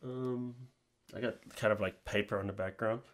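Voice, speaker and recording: A man's voice: on the low side, fairly even intonation, moderate pace. A middle-aged man, chatting informally and in a rambling way to his viewers as a channel host. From New Zealand. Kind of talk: casual talk to viewers.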